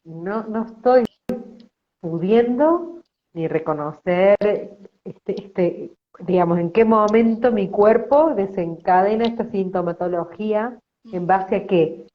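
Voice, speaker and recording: A woman hosting a reflective conversation, speaking in a soft, flowing, thoughtful way. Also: medium pitch, slow. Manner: soft, flowing and thoughtful, acts out quoted complaints. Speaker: host. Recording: dull lo-fi audio.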